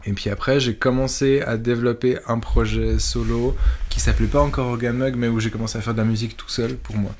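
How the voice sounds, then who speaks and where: A man's voice: medium pitch, fairly even intonation, brisk, darkish tone, slight background noise. A man in his thirties, a musician being interviewed, talking casually with many fillers and restarts. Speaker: musician guest.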